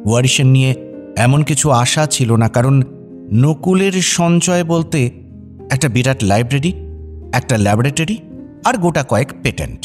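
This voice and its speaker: A man narrating a scripted radio story in a wry, expressive way. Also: medium pitch, normal speed, pauses often, soft background music.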